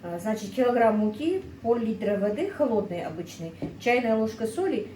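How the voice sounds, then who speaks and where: A woman's voice: on the high side, lively intonation, moderate pace, mellow, far from the mic. A middle-aged woman chats away at her home cooking, talking straight to her viewers as friends. Her voice comes from a little way off the mic in an echoey kitchen, with a faint hum underneath. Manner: chatty, keeps calling the viewers friends. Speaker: cooking vlogger. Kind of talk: casual cooking vlog.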